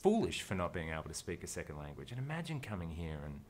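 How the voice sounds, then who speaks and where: A man's voice: low-pitched, big pitch swings, fast, a little hiss or hum. An Australian man, an actor, talking casually as an interview guest, thoughtful and rambling, with frequent restarts.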